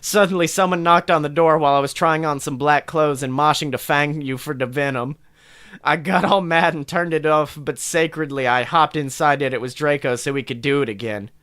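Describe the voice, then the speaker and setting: A man reads a story aloud for comedy in a fairly high, crisp-edged bright voice. He is playful and mock-dramatic, dropping in sardonic asides. The sound is dry and close. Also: brisk, no room sound. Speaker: podcast co-host.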